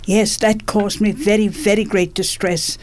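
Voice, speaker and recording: A woman's voice: medium pitch, fairly even intonation, measured pace, slight background noise. Elderly Englishwoman reminiscing. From England, born in London. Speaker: interviewee, former politician. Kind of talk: reminiscing in a radio interview.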